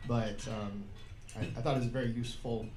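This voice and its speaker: Mellow, even-toned American man, halting. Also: mid-range voice. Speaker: newly elected state senator, panelist. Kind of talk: informal panel answers. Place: indoor event room. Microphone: shared event microphone. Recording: echoey room, faint background noise.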